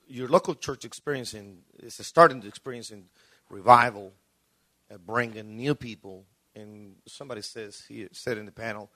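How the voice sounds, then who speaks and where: A man's voice: mid-range voice, fairly bright tone, dry recording. A man, a pastor answering on a panel in an emphatic, preacherly way, repeating phrases for weight.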